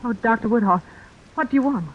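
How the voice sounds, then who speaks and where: An actress as self-possessed woman suspect, expressive vintage radio. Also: fairly high pitch, a little fast, slight background noise, lightly acted. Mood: guarded, sharp. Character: self-possessed, tough-minded young woman murder suspect. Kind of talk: 1940s radio suspense acting. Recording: dull vintage radio recording.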